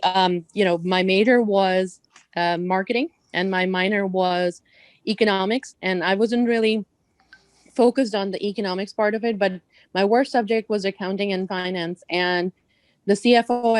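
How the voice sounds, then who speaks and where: A woman's voice: medium pitch, no room sound. A woman in finance and accounting talking on a video call from her office, upbeat and chatty with many fillers. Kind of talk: panel talk on a video call.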